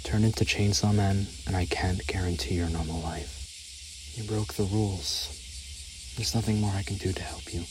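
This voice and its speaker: Anime fandub: actor for a deep-voiced government devil hunter, unbothered and matter-of-fact. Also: male voice, fairly even intonation, moderate pace, noisy background, natural voice. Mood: cool, detached. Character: cool, detached young male government devil hunter.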